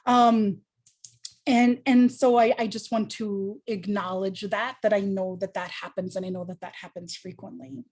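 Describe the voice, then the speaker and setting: A woman's voice: medium pitch, steady tone, normal speed, darkish tone. A woman on a panel, answering a question in a thoughtful, earnest way with frequent ums and restarts.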